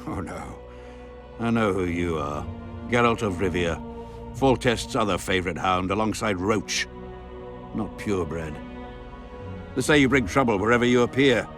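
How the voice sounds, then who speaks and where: A haughty baron in a fantasy video game. His fairly deep voice is pompous and scornful, with an irritable, commanding manner. Loud music runs behind him. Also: unhurried, pauses often. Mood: disdainful. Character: haughty, irritable male baron, contemptuous aristocrat. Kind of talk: dramatic video game acting.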